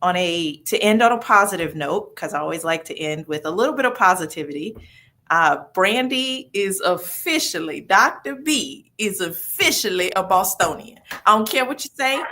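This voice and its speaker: A woman's voice: medium pitch, lively intonation, normal speed, pushed and bright. A woman with a Southern US accent chatting casually as a talk show guest, folksy and emphatic, repeating phrases for emphasis. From the southern United States. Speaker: regular guest, nonprofit executive director. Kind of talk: casual chat and personal updates.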